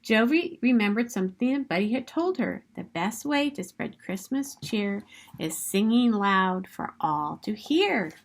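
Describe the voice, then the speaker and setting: A woman reading a children's picture book aloud in an expressive, emphatic storytelling manner. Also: mid-range voice, a little slow.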